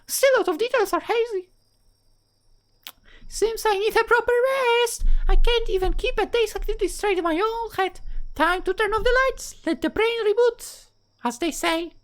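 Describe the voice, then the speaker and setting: A woman's voice: extremely high pitch, moderate pace, voice pushed up. A woman voicing a flustered, caring teenage schoolgirl in a visual novel, stammering and twitchy, with music behind her. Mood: hazy, hesitant. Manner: stammering, twitchy when embarrassed. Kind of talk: visual novel character dialogue.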